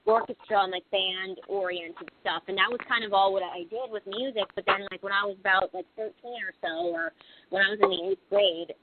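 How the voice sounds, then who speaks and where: A woman's voice: mid-range voice, expressive, a little fast, noisy background. Young woman singer, rambling with fillers. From Pennsylvania, USA. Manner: rambling, heavy with "like" and "you know" fillers. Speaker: band singer, guest. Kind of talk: casual radio interview. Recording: lo-fi phone-like radio recording.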